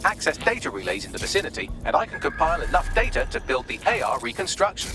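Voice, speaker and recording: A man's voice: fairly high pitch, big pitch swings, fast, music in the background. A man playing a witty, snarky AI assistant in a video game, articulate and sardonic. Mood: busy, explanatory.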